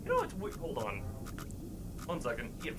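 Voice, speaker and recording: A man's voice: medium pitch, wildly swooping pitch, normal speed, noisy background. A man hosting a game livestream, chatting casually and rambling as he thinks aloud while playing. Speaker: game streamer, host. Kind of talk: casual livestream chat with gameplay commentary.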